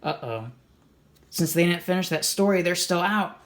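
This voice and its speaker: An expressive storybook reader doing an animated read-aloud for children, explaining and asking questions along the way. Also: medium pitch, normal speed.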